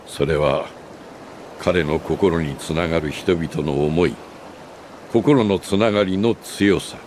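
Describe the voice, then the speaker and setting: An older man acting a solemn, remorseful old sage in a Japanese video game cutscene, speaking gravely and with deliberate pauses. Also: low-pitched, a little mellow, a little hiss or hum. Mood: solemn, earnest. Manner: grave, measured, philosophical delivery with deliberate pauses.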